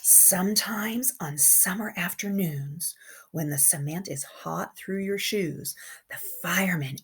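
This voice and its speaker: A woman kindergarten teacher reading a picture book aloud to young children in an expressive way, with simple asides and questions. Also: lowish voice, slightly rough. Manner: animated, simple wording, pauses to comment and question.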